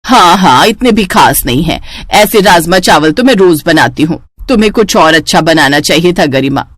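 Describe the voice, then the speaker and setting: A woman's voice: fairly low pitch, expressive, normal speed. A woman voicing a nagging, sarcastic Indian mother-in-law in a cartoon story. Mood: disapproving. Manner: scolding, sarcastic. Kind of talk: Hindi cartoon moral story.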